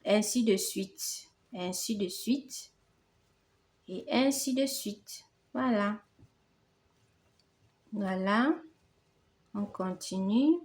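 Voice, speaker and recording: A woman's voice: medium pitch, very slow, pauses often. A woman from Gabon with a Gabonese French accent, a native Fang speaker, hosting a casual crochet tutorial in a reassuring, affectionate way. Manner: affectionate, reassuring, addresses viewers as "les amours".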